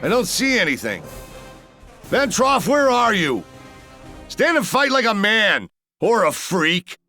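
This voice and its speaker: An actor playing a cocky, defiant army major in a sci-fi game, sarcastic and combative. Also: male voice, high voice, sing-song, slow pace, pushed and bright, soft background music, exaggerated voice. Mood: angry. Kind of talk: video game acting.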